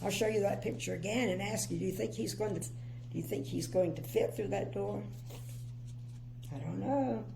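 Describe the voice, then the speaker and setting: Mellow, creaky-voiced older woman reading bedtime stories. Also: mid-range voice, normal speed, a little hiss or hum. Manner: chatty, gently opinionated commentary. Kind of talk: expressive children's storybook reading with casual asides.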